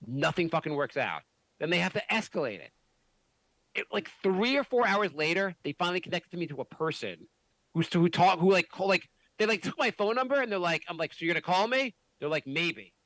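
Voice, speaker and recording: Guy ranting in a casual chat, bright lively voice, swears a lot, dry close sound. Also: sits high, brisk, no echo, rough recording. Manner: animated, swears a lot, many restarts. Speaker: podcast host.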